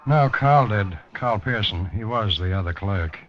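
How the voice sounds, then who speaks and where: An actor playing a helpful, unhurried factory manager, folksy and musing, in 1950s radio drama style. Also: male voice, lowish voice, animated. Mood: obliging, musing. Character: helpful, unhurried male small-factory manager checking records. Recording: dull, noisy vintage 1950s radio recording.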